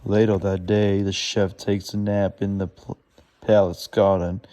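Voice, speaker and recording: A man reads a children's storybook aloud haltingly, stumbling over words and correcting himself as he goes. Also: fairly low pitch, level tone, mellow. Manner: stumbling, laboured reading with self-corrections.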